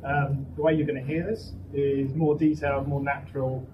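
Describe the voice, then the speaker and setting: A man delivers a product presentation in a medium-pitched voice. He sounds far from the mic. Loud ambient noise of an indoor event surrounds him. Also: flat delivery, moderate pace, highs cut off. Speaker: company presenter. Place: indoor trade show demo room. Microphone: camera some distance away. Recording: distant mic, very noisy event hall. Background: loud room ambience noise.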